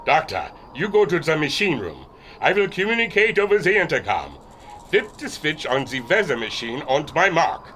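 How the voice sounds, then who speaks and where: A man playing an animated, earnest airship captain. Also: a little high, moderate pace, a little hiss or hum. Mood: urgent, commanding. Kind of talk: retro adventure radio drama acting.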